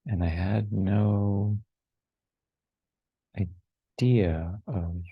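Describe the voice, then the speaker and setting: A man in his forties leading a guided meditation in a hushed, unhurried way, with long pauses between short phrases. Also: lowish voice, steady tone, slightly rough, no echo, phone-quality sound. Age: 40s. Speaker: meditation teacher. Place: online retreat over video call, dry close sound.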